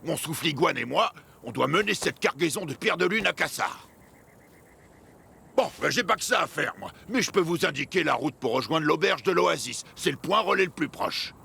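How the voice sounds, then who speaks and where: Gravelly, animated desert grouch. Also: male voice, fairly high pitch, normal speed, heavily acted. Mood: gruff. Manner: blustering, scolding, mocking. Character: grumpy excitable desert traveller hauling cargo with his beast. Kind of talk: French animated series dubbing. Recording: music behind the voice.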